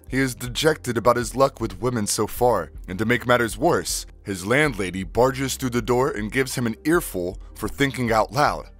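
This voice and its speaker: Mid-pitched man narrating over music. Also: fairly bright tone. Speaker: narrator of cartoon recap videos. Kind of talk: scripted recap narration.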